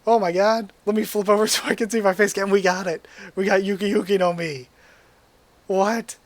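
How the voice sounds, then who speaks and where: Young man, slightly high voice, going hoarse, relaxed rambling, ums and likes, webcam desk mic. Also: moderate pace, dry recording. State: losing his voice. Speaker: YouTuber. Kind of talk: casual quiz commentary to webcam. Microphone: desk mic at a webcam setup.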